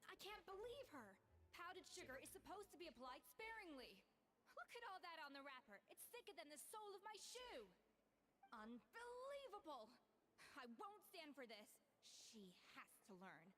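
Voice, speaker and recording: A woman's voice: very high-pitched, very animated, normal speed, a bit bright, slight background noise, heavily acted. A woman playing a proud, exacting young head chef in a video game, brusque and exasperated but secretly kind. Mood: indignant. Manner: crisp, brusque, exasperated delivery. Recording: game music behind the voice. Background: background music.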